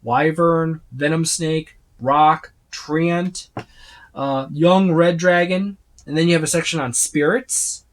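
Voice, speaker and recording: Halting, casual tabletop game reviewer. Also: medium pitch. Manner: halting, with repeated words and trailing lists. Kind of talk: casual unscripted book flip-through overview.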